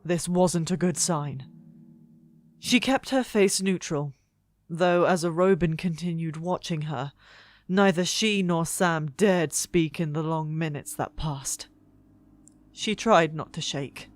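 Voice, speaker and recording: A narrator reading fantasy fiction in a dramatic, deliberate and expressive style. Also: mid-range voice. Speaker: audiobook narrator. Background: soft background music.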